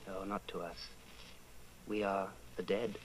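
A man playing an earnest, resolute rebel with a deliberate delivery in an old-time radio drama. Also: low voice, flat delivery, very noisy. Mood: wistful. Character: earnest, resolute male rebel against the Party.

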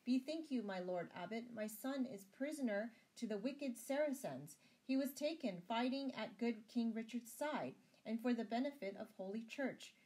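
A man's voice: very high voice, expressive, normal speed, few pauses, darkish tone, voice pushed up, low-quality recording. A woman voicing a proud, earnest medieval knight with a courtly manner, in a storybook tale. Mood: humble, pleading. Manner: earnest, courtly, pleading or defiant. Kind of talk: storybook character voice.

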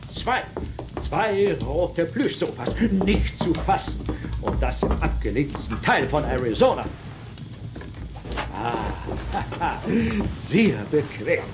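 A pompous, fussy general in a children's audio drama. His male voice sits high and moves slowly and affectedly. The old recording has clear noise behind it. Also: very animated. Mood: delighted.

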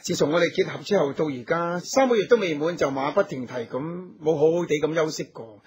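A tender, solicitous hunter and new husband in a radio drama. His Hong Kong Cantonese voice is extremely husky and a little high for a man, earnest and caring. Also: lively intonation, fairly quick.

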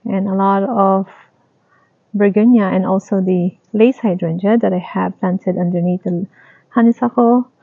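A woman giving a casual tour of her garden, talking in a slow, halting, plain way. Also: mid-range voice, fairly even intonation, vocal fry, dry recording. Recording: dry, tinny phone-like sound.

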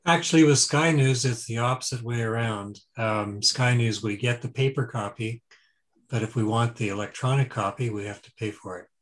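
An older man, a club member, chatting on a video call in a relaxed way with many fillers. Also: medium pitch, normal speed. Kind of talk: casual video call chat.

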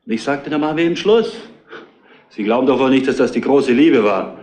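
An actor playing a hot-tempered, defensive truck driver under suspicion in a retro crime radio play. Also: male voice, medium pitch, lively intonation, fairly quick, a little mellow, natural voice. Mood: surly. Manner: defensive, surly, argumentative. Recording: roomy, echoing studio sound.

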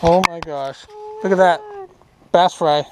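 A man's voice: fairly high pitch, measured pace, poor audio. Upbeat young man fishing from a boat. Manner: easygoing, upbeat, excited over catches. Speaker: fishing vlogger. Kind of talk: casual fishing commentary. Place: small boat on a lake outdoors. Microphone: action camera on the boat.

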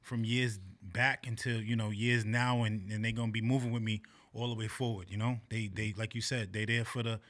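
A man in his thirties, a podcast guest chatting casually in a small office room at a desk mic, agreeable and quick to back others up. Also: on the low side, level tone, a bit bright. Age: 30s. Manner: agreeable, frequent affirming interjections. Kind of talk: casual chat. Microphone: desk mic on boom arm.

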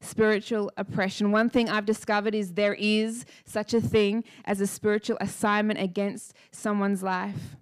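A woman in her thirties preaching a sermon on a church stage into a handheld mic, energetic and emphatic, repeating key lines. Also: medium pitch, moderate pace, few pauses, smooth. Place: church stage with dark blue lighting. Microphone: handheld microphone.

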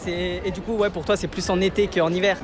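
A young man conducts casual street interviews in a high, rapid voice. He teases and echoes in slang, outdoors amid a busy crowd. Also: little pitch movement. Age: 20s. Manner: teasing, echoes and probes answers. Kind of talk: casual vox-pop questioning in slang. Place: busy city square, outdoors. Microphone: handheld mic at arm's length.

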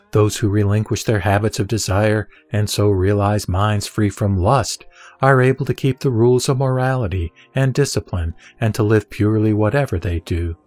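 A man reciting a Buddhist scripture in a measured, solemn way, carefully sounding out Sanskrit names. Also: on the low side, a little mellow. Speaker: sutra reciter.

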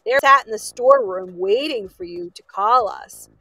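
A woman's voice: on the high side, expressive, moderate pace, creaky, pushed and bright, no echo, soft background music, heavily acted, low-quality recording. A woman voicing a very stout, friendly talking cabbage from a children's story, hearty and exclaiming. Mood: huffy, exasperated.

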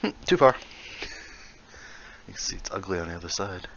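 A man's voice: deep voice, big pitch swings, brisk, a bit bright, highs cut off, slight background noise. A man casually explaining how he builds in a VR game, thinking aloud and muttering small asides as he works. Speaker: VR building tutorial creator. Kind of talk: casual tutorial while building in VR.

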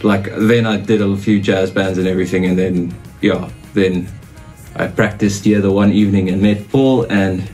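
A young South African man with a lowish voice chats loosely, full of "uh" and "like". He sits in a small home studio, and some quiet music plays beneath him. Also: moderate pace. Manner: relaxed, rambling with fillers. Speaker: guitarist. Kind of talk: casual interview.

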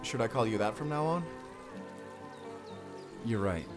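A tender, affectionate partner and father in an audio roleplay, acted by a man. He speaks softly and slowly, sometimes hesitant, with faint music behind him. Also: mid-range voice, expressive, natural voice. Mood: fond, relaxed. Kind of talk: intimate audio roleplay acting.

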